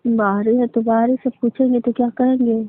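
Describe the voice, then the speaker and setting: Casting: a woman playing a doubtful village sweetheart, fairly high voice, short questioning replies over a thin telephone line. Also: flat delivery, fairly quick. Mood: hesitant. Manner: hesitant, questioning, brief replies. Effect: phone line. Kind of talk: casual romantic phone chat, staged. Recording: tinny phone line with faint noise.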